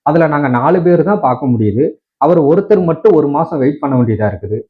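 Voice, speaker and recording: A man's voice: a little high, expressive, fast, narrow, tinny audio. A man with a Tamil Nadu accent complaining to viewers in an indignant, argumentative way with many rhetorical questions. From Tamil Nadu, India. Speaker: tech video creator.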